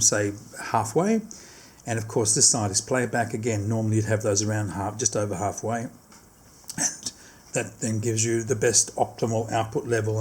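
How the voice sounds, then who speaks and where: A man's voice: medium pitch, a little mellow, slightly rough. A man giving a casual hands-on product demonstration, explaining step by step and often rephrasing his instructions.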